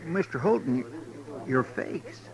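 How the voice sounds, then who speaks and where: A man playing an anxious, deferential deputy in a 1950s radio western, with a creaky voice. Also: mid-range voice, expressive, measured pace, poor audio. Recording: old radio recording, crowd behind.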